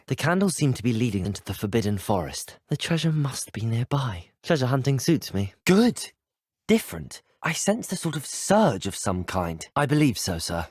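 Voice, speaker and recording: An actor playing an earnest, courteous young male wizard student in a video game, polite and well-spoken, with a British accent and a smooth voice. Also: medium pitch, no echo, clean studio sound. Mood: intrigued. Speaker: player character in a video game. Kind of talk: scripted video game voice acting.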